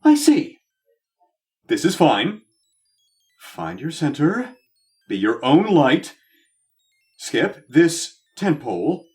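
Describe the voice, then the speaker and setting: Man acting as a pompous theatrical secret agent, slow measured talk that swoops around, comedy podcast drama. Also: on the high side, huge pitch swings, a little mellow, faint music behind, exaggerated voice. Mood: strained calm. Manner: pompous, flowery, melodramatic. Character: vain theatrical male actor-agent feigning serene composure. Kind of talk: scripted audio comedy acting.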